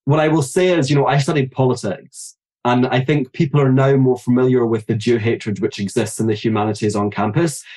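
A man in his thirties with a Glasgow Scottish accent, a guest author speaking quickly and articulately in a podcast interview. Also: mid-range voice, fairly even intonation, a little mellow. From Scotland. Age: 30s.

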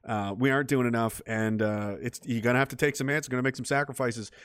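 A man's voice: mid-range voice, fairly even intonation, quick pace, fairly bright tone, clean tone, dry recording, studio quality. A man hosting a livestream, rambling in casual, heated and profane commentary. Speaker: livestream host.

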